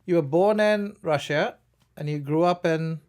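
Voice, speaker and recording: A man hosting a conversational interview show, haltingly restarting his questions as he feels his way toward them. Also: on the high side, a little mellow, clear, resonant tone. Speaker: talk show host.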